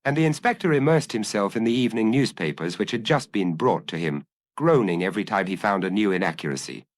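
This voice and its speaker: A man's voice: lowish voice, lively intonation, fast talker, no room sound. A man narrating a detective story as a scripted audiobook reading.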